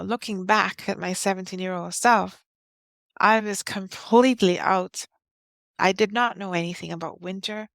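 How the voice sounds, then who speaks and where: A woman's voice: fairly low pitch, no room sound. A woman in her fifties from Guyana, earnestly telling her life story as an interview guest in short, heartfelt phrases. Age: 50s.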